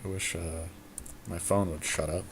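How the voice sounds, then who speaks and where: A man's voice: low voice, level tone, moderate pace, noisy background. A man thinking aloud as he gives casual commentary on a strategy game he is playing. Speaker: podcast host commentating a card game.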